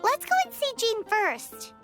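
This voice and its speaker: A woman's voice: very high-pitched, sing-song, measured pace, fairly bright tone, heavily acted. A woman voicing a tiny, cheeky female fairy companion who talks about herself in the third person, in video game dialogue. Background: game music.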